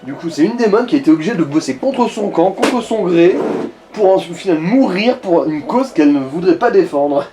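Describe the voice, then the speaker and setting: Mellow, slightly high-voiced man chatting about games. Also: lively intonation, a little fast. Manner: crude, slangy, sardonic. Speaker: video game commentator. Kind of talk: casual gaming chat. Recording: noticeable background noise. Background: background noise.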